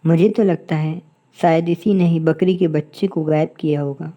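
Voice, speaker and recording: A woman's voice: low-pitched, fairly even intonation, moderate pace, dull audio, lightly acted. A woman voicing a kind, helpful young bird who speaks with earnest concern in a cartoon moral story. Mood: suspicious.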